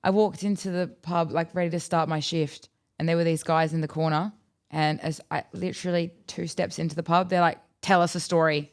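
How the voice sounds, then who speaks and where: Low, dry-witted Australian woman co-host. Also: flat delivery, fairly quick, darkish tone. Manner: dry quips and interviewer questions. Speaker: podcast co-host. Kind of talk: casual chat.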